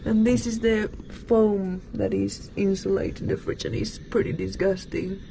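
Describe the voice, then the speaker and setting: A woman's voice: medium pitch, fairly even intonation, measured pace, creaky, mellow. A young woman vlogging casually from inside a boat cabin under renovation, filmed on a handheld camera at arm's length. She is chatty, explains things as she shows them, and laughs easily. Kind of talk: casual vlog chat. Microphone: handheld wide-angle camera at arm's length. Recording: noisy boat workshop ambience.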